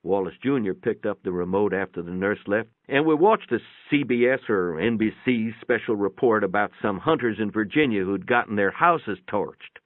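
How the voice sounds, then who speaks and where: A man's voice: lowish voice, expressive, normal speed, few pauses, no room sound, phone-quality sound. A man giving a folksy, plainspoken dramatic reading of a first-person story, with a radio-like sound. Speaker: narrator, reader. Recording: band-limited, radio-like sound.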